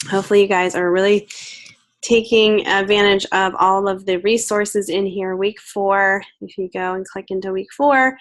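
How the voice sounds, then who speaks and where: A woman's voice: mid-range voice, fairly bright tone. Congested woman giving an online lecture. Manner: chatty, reassuring, many fillers and restarts. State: nasal from a head cold. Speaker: online course instructor. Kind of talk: recorded lecture.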